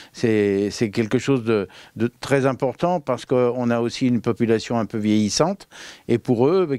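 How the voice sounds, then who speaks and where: Brief: male local official, clear resonant tone, hesitant delivery with fillers. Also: on the low side, a little mellow, no echo, clean studio sound. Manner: hesitant, many fillers and restarts. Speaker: local community council president. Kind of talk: on-camera interview statement.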